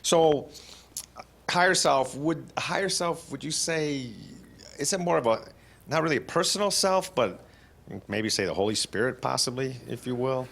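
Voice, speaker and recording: A man in a lecture audience with a naturally loud voice, asking a question into a handheld microphone, hesitant and searching for his words. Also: mid-range voice, lively intonation, normal speed, gravelly. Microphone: handheld microphone passed into the audience.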